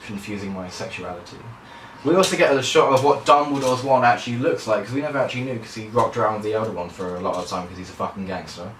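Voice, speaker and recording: A man hosting a casual fan chat, quick and jokey, with some swearing. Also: on the low side, fairly bright tone, echoey room, far from the mic, slight background noise, poor audio.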